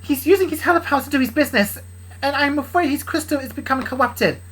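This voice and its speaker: A woman's voice: high voice, animated, voice pushed up, rough recording. A woman voicing an urgent young woman who hurries to deliver worrying news. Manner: hurried, worried.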